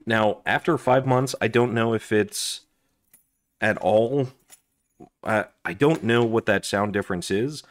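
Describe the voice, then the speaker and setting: A man who is hoarse and losing his voice, chatting casually with lots of fillers while he reviews his gear at a desk indoors. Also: fairly low pitch, unhurried. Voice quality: raspy. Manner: chatty, rambling, with frequent fillers and restarts. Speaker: gear review channel host. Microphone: overhead camera on desk, hands only.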